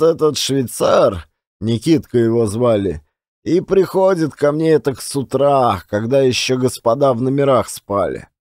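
A man's voice: medium pitch, darkish tone, dry recording, clean studio sound. A man voicing an old former billiard marker who tells his memories in folksy, colloquial turns of phrase for an audiobook drama. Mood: reminiscing. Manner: colloquial, unhurried storytelling with rustic turns of phrase.

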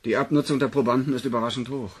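An actor playing a precise, irritable scientist in a German sci-fi audio drama, with a clipped, technical delivery. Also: male voice, mid-range voice, fairly even intonation, rapid-fire, breathy edge, close to an everyday voice. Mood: grave, factual. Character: anxious, precise male scientist, irritable subordinate.

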